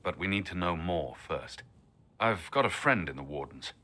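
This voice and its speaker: An actor playing a grim, seasoned adventurer who speaks firmly and steadily about an old enemy. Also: male voice, sits low, moderate pace, exaggerated voice. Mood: determined. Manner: firm, steady, determined. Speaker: former adventurer helping the Inquisitor.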